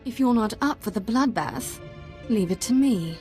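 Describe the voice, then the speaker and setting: An actress playing an impatient, bloodthirsty Sith apprentice in a video game, with a cutting, defiant manner. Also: female voice, on the high side, animated, normal speed, darkish tone, faint music behind, close to an everyday voice. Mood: impatient, scornful.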